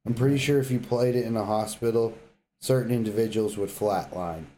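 A man's voice: lowish voice, a little fast, dark tone, a little hiss or hum. A man co-hosting a podcast, talking casually about games in a blunt, emphatic, opinionated way. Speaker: podcast co-host. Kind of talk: casual gaming banter and debate.

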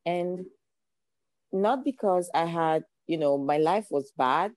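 A woman's voice: lowish voice, slow, darkish tone, dry recording. Cameroonian-accented woman, earnest and reflective. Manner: earnest, reflective, builds ideas in repeated phrases. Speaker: guest speaker. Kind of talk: podcast interview.

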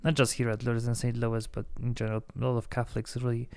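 A man's voice: medium pitch, little pitch movement, quick pace, gravelly, a little mellow, smooth, dry recording. A man who is a priest with Polish as his first language, chatting casually on a podcast with lots of fillers and restarts.

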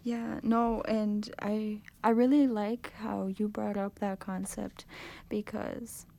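A woman's voice: mid-range voice, flat delivery, slow pace, gravelly, warm tone, no echo. Young woman host, dry close recording, friendly question-asker. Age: young adult. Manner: friendly, asks open questions, many affirming "mm-hmm"s. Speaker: podcast host. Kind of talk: casual panel discussion.

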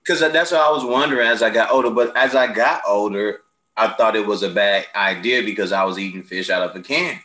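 Brief: low-pitched male host, bright, forceful tone, lively storyteller, echoey room. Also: fairly quick. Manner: animated storyteller, acts out quoted friends. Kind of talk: casual chat, storytelling.

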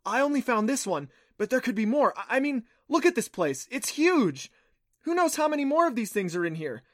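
A man voicing an excitable, defensive young girl adventurer in an audiobook, with a breathy, eager and exasperated delivery. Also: high-pitched, a little fast, no room sound, clean studio sound, voice pushed up. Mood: excited. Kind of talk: audiobook character voice.

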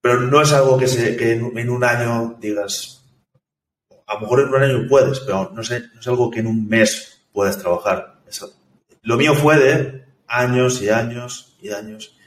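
A man, a software developer, talking casually in an interview, thoughtful and hesitant, with many restarts. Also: medium pitch, moderate pace. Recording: echoey room, distant from mic.

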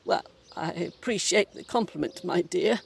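A woman acts as a formidable old mother, dead but still bossy. Her voice is gravelly and husky, with a dry, fussy, genteel manner made for audio drama. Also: on the low side, moderate pace, exaggerated voice. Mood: dry, teasing. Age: 70s. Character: domineering, sardonic old mother's ghost, 78. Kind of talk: audio play acting.

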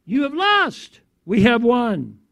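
A man's voice: very high-pitched, wildly swooping pitch, slow, gravelly, fairly bright tone, no room sound, clean studio sound, pitched above the performer's own voice, full character voice. A man voicing a triumphant divine lion in a sermon, proclaiming victory in a chant.

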